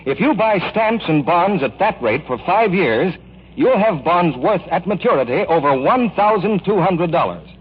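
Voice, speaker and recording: A man's voice: sits high, lively intonation, moderate pace, husky. A man working as a radio announcer, reading a scripted 1940s public appeal. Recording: muffled, hissy old radio broadcast.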